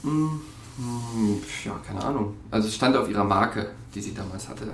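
A man's voice: on the low side, moderate pace, gravelly, echoey room, recorded from a distance, slight background noise. An actor playing an evasive male tenant, hesitant and stammering, in a naturalistic audio drama. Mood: casual, sheepish. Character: evasive male urban tenant on an awkward first date.

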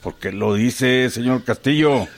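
An actor playing a courteous political organizer of an uprising in a radio drama, with a Mexican accent. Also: male voice, mid-range voice, unhurried, lightly acted. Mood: concerned, planning. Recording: faint noise with birdsong.

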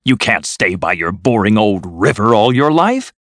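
A man voicing a boastful, excitable, rich toad from a storybook, gushing and exclaiming as he talks on. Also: on the low side, takes it slowly, husky, dry recording, exaggerated voice. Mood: persuasive. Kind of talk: storybook character voice.

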